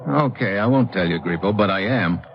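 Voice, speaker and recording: An actor playing a tough, wry adventurer hero in an old American radio drama, with a sardonic, wisecracking delivery. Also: male voice, fairly low pitch, expressive, fairly quick, a little hiss or hum. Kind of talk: 1950s radio adventure acting. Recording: muffled old radio recording, music behind.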